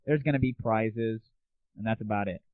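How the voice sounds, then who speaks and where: A man's voice: fairly low pitch, moderate pace, dry recording. Tinny narrowband audio, dry, man, show host, casual monologue, cheeky, slangy, crude.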